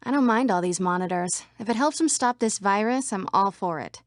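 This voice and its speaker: A woman's voice: medium pitch, a little fast, no room sound, clean studio sound, lightly acted. An actress voicing female computer program citizens in a scripted video game. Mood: defiant, blunt. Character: female computer program citizens, by turns frightened, defiant or official.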